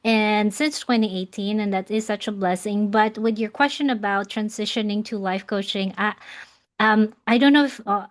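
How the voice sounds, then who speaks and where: A woman in her forties, raised in the Philippines, talks as a guest in a casual interview. She speaks earnestly at a middling pitch and fills her pauses with ums. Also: steady tone. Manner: earnest, many ums, warm thanks. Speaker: guest life coach.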